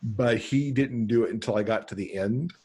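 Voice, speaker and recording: A middle-aged man chatting casually as a podcast guest on a video call, pausing to think as he goes. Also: medium pitch, darkish tone, husky. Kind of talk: casual conversational interview. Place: home room, on a video call.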